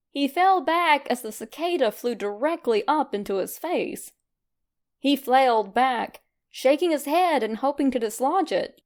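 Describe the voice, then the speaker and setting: A woman reading fan fiction aloud as an expressive, scripted story narration. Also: high-pitched. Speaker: fan fiction podfic reader.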